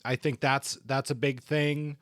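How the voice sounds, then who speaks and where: Guy chatting about novels on a podcast, thoughtful, kinda halting, mid-pitched, really dry sound. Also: little pitch movement, a bit bright, dry recording. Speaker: podcast co-host reviewing crime novels. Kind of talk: casual book discussion.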